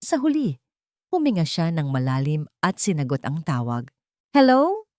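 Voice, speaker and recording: Lowish-voiced woman narrator with sweeping intonation. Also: sing-song, normal speed, warm tone, no room sound, clean studio sound. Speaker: audio drama narrator. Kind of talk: dramatic scripted story narration.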